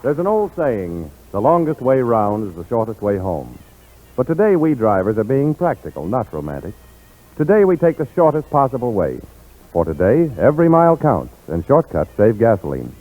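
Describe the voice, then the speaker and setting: A man's voice: mid-range voice, sing-song, normal speed. A man announcing a 1940s radio show in a polished, declamatory style, presenting the program and its sponsor. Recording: tinny, band-limited 1940s radio broadcast.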